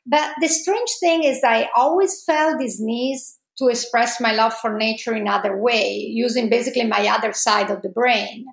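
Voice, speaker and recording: A middle-aged woman with an Italian accent, answering interview questions with many pauses and fillers. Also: fairly high pitch, vocal fry, echoey room. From Italy.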